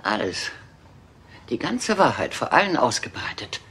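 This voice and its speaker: An actress dubbing an aging Hollywood film diva in a German crime drama, theatrical and imperious. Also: female voice, extremely low pitch, big pitch swings, a little slow, a bit bright, husky, full character voice. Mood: scheming.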